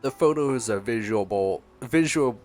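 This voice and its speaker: A man giving casual, halting gameplay commentary as a streamer, musing aloud and reading out dialogue choices. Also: mid-range voice, expressive, a little mellow, faint music behind.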